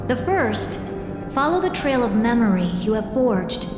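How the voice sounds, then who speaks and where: A solemn game oracle with a fairly high female voice that rings through a long reverb. She speaks cryptically and deliberately, with music clearly playing beneath her. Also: very animated. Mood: grave, measured. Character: solemn, enigmatic female oracle explaining fate to another. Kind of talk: dramatic video game story dialogue. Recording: echoey reverberant hall, music underneath.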